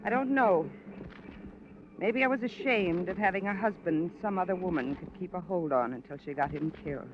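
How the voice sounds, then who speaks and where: A woman's voice: on the low side, normal speed, lightly acted. Through a muffled old radio recording with faint noise, an actress plays a worn-out, bitter widow. She is plain-spoken and evasive, always on the defensive. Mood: bitter, resigned. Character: bitter, weary, evasive newly widowed wife. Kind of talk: 1950s radio drama acting.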